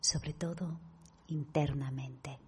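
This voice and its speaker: Husky low-voiced Spanish woman guiding meditation. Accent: Spanish from Spain. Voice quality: husky, breathy. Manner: calm, deliberate, with pauses. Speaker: meditation guide. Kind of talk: guided meditation talk to an online audience.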